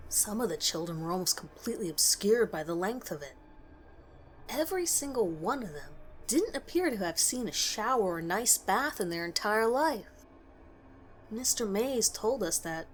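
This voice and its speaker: Female narrator for scripted horror fiction, medium pitch, faintly noisy recording.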